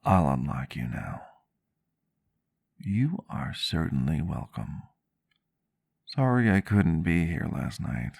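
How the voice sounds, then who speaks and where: Man playing very deep, soft-spoken gentle vampire doctor. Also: very animated, takes it slowly, creaky, pauses often, no echo, heavily acted. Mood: tender, reassuring. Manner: soft-spoken, formal wording, reassuring. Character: gentle, courteous male vampire doctor, caring partner. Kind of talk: roleplay ASMR, soft intimate acting. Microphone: close microphone, dry sound.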